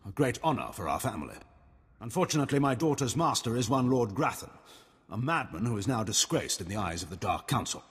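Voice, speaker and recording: Haughty, husky imperial admiral. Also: male voice, fairly low pitch, very animated, brisk, long reverb tail. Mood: grave. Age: middle-aged. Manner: formal, condescending. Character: cold, haughty middle-aged imperial admiral. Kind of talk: video game voice acting.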